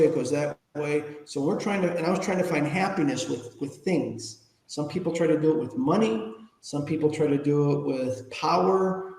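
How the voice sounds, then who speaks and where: Mature male interview guest, fifties-plus, slightly high voice, informal animated storyteller, recorded in a reverberant room. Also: creaky. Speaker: interview guest, public speaker. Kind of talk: conversational personal storytelling. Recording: echoey room with long reverb.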